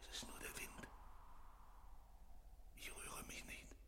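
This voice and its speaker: Scared guilty guy in a radio play, slow n hesitant, whispery, echoey room. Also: bright, forceful tone. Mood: tense whispering. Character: fearful, guilt-ridden man hiding a buried secret. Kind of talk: German radio play acting.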